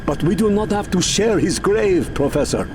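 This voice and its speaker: Man acting high-voiced, pleading loyal servant. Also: animated, moderate pace, background noise. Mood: urgent defiance. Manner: earnest, urgent, formal. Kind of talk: dramatic audio drama acting. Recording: music behind the voice.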